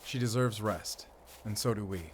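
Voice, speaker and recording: An actor playing a mysterious, confident monster hunter with a German accent in a gothic horror audio roleplay, speaking in a measured way to a companion. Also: male voice, on the low side, a little hiss or hum, close to an everyday voice. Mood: grim, explaining.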